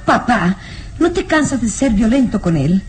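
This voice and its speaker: An actress playing a baron's young daughter, emotional and protesting, in a radio drama. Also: female voice, on the high side, sing-song, normal speed, a little hiss or hum. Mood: reproachful. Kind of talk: radionovela acting.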